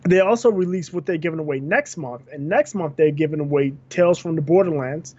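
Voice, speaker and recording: A man's voice: fairly high pitch, fairly quick, a little mellow, muffled recording. A man co-hosting a gaming podcast, going through news items with frequent fillers and self-corrections. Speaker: podcast co-host.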